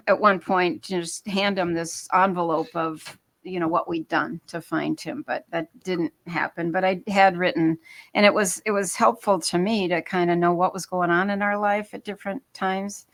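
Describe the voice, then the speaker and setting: Woman, older, from Minnesota, guest author, earnest, halting speech. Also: mid-range voice, fairly bright tone. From Minnesota, United States. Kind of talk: interview talk.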